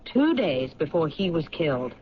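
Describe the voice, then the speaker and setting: An actor playing a persistent police lieutenant who questions a suspect firmly. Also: male voice, on the high side, big pitch swings, normal speed, over music. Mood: probing. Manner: firm, probing questions. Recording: dull lo-fi 1970s radio play.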